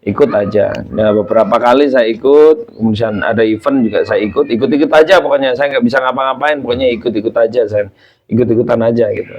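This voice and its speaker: A man in his thirties, a children's storyteller, chatting as a guest and telling his story warmly, full of fillers and addressing listeners as friends. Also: medium pitch, quick pace, lo-fi sound, slight background noise. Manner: speaks to the audience as "friends". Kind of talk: casual interview chat.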